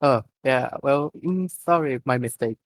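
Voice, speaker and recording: A man's voice: mid-range voice, measured pace, close to an everyday voice. A man voicing a mild, apologetic inventor in a story, hesitant and grumbling. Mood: sheepish.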